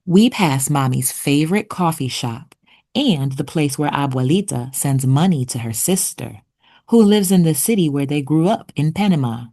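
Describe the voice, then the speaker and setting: A woman reading a children's picture book aloud expressively, with deliberate storytelling pauses. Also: low-pitched, lively intonation, dark tone. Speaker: children's read-aloud narrator.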